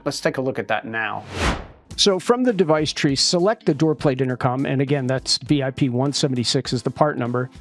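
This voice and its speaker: A man narrating a step-by-step software tutorial as a training instructor, explaining things in a clear, methodical way. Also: medium pitch.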